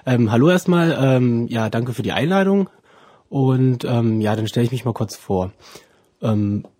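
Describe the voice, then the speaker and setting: A man's voice: mid-range voice, unhurried, dark tone, dry recording, clean studio sound. A man in his twenties from Ukraine who grew up partly in Germany, answering interview questions hesitantly and often correcting himself. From Ukraine, then Germany from about age seven. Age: 20s. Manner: hesitant, searching for words, self-correcting. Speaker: interview guest, student. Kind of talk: informal personal interview answers.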